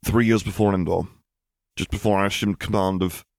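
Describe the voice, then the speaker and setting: A man's voice: low voice, steady tone, fairly quick, gravelly, darkish tone, dry recording, studio quality. A man voicing a middle-aged naval admiral in an audiobook, proper and wry, with an anxious, hesitant formality. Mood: uneasy, hesitant.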